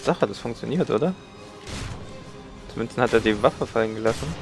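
A man's voice: mid-range voice, a little fast, vocal fry, over music. A man with a cold giving casual, chatty commentary while playing a game. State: has a cold. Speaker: let's play gamer.

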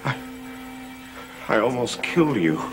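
An actor playing a tormented, pleading master of a cursed house in a theatrical gothic soap, on an old TV soundtrack. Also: male voice, mid-range voice, big pitch swings, unhurried. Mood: shaken, brooding. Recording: old TV soundtrack under loud music.

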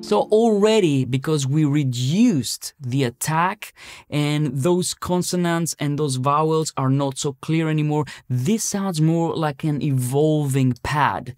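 A man's voice: on the high side, takes it slowly, a little mellow, no echo, studio quality. A man in his thirties explaining music production step by step in a conversational tutorial style. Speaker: tutorial presenter. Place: small home-style music studio, indoors.

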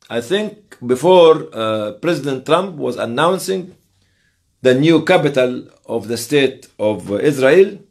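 Animated older man lecturing, high-pitched voice. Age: 60s. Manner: emphatic, halting phrases with rhetorical questions. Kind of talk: explanatory lecture to camera. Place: small office, indoors. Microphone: camera or phone on the desk, a short distance away.

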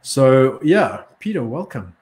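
A man's voice: medium pitch, big pitch swings, darkish tone, a little hiss or hum. Friendly male chat show host. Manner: friendly, asks questions in quick runs. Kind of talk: casual chat show hosting.

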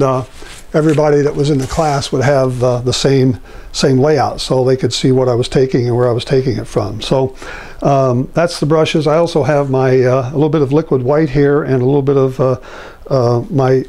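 An older man with a raspy, mellow voice walks through a painting step by step. He speaks in an unhurried, halting way, often pausing on fillers. He is recorded in an indoor studio, talking while he works. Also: medium pitch. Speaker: painting instructor.

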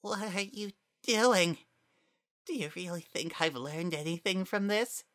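A man's voice: a little slow, fairly bright tone. A man voicing a small, spiteful, menacing flower creature who shrieks and taunts. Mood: smug taunting. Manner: high taunting shrieks, cackling.

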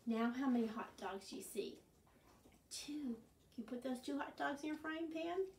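A woman in her sixties presenting a children's storytime, expressive and often prompting the children with questions. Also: sits high, moderate pace, a little hiss or hum. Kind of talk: children's storytime, singsong chanting and read-aloud. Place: small furnished living room, indoors. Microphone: camera a few metres away. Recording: roomy, distant camera microphone.

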